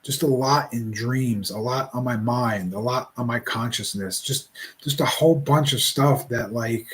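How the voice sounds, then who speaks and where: A man in his forties speaking in a casual reflective monologue, rambling and halting with many restarts. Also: mid-range voice. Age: 40s.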